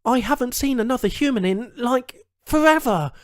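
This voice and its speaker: A man's voice: very high voice, expressive, moderate pace, no echo, studio quality, pitched above the performer's own voice. A man voicing a blunt, sardonic captive woman journalist in an audiobook. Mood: wary, urgent. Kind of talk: audiobook character dialogue.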